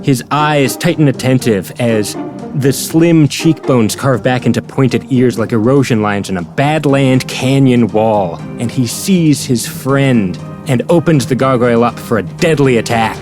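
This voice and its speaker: A man's voice: medium pitch, unhurried. A man reading scripted, descriptive narration in a fantasy audio drama. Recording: prominent music bed behind narration. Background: music.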